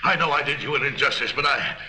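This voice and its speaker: A man's voice: mid-range voice, fast talker, bright, forceful tone. An actor playing a scheming, dignified banker in a 1930s crime film, earnest and theatrical in his delivery. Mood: frightened, pleading. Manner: earnest, theatrical pleading and explaining. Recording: noisy, echoey 1930s film soundtrack.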